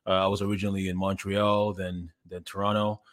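A man in his thirties, from Ghana, talking as a podcast guest in a relaxed, halting way with many fillers and restarts. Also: sits low. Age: 30s. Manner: hesitant, many fillers and restarts, laughs easily. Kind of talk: casual interview chat.

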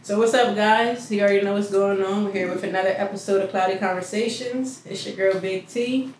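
A woman's voice: mid-range voice, fairly even intonation, brisk. A woman hosting a casual podcast interview, telling stories loosely and laughing along. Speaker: podcast host. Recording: echoey room, distant mic, faint hiss.

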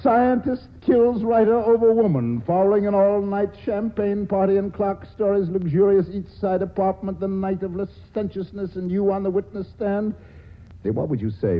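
A man's voice: sits high, lightly acted. An actor playing a cynical, witty male writer in a 1940s radio drama, talking with wry, sardonic banter. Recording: tinny old radio broadcast.

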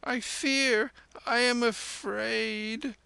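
A man's voice: very high-pitched, expressive, slow, pushed and bright, dry recording, pitched above the performer's own voice, heavily acted. A man voicing a small, scared and demanding child in a storybook reading. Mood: frightened.

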